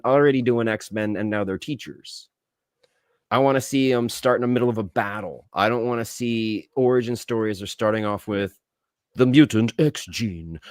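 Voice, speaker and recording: A man's voice: mid-range voice, animated, moderate pace, no echo, studio quality. A man co-hosting a podcast, giving opinions on films and comics casually, bluntly and emphatically, with swearing. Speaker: podcast co-host.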